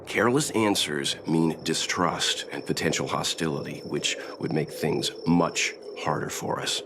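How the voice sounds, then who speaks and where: A man playing a calm, prudent middle-aged mentor in a video game, speaking in a measured, reasoned and reassuring way. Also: a bass voice, background noise. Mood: cautioning. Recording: music behind the voice.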